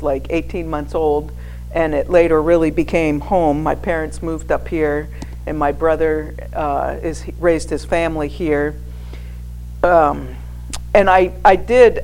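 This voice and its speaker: A woman's voice: deep voice, low-quality recording. An American woman in her sixties giving an informal personal testimony in a hesitant, conversational, anecdotal way. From New England, United States. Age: 60s. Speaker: guest speaker, former missionary sharing with a church congregation. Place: speaking live to a church gathering. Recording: clear background noise with hum.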